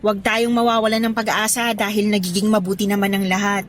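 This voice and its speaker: A woman's voice: medium pitch, flat delivery, fast talker, lightly acted. An actress playing a grateful, respectful young working woman in a Tagalog audio drama, with a Filipino accent and polite manner. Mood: earnest, grateful. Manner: polite, deferential.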